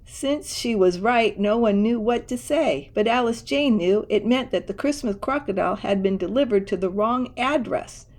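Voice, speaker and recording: A woman reads a children's story aloud. Her voice sits at a middle pitch. She tells it expressively and slips in casual asides of her own. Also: moderate pace, hardly any pauses, darkish tone. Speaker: storybook reader.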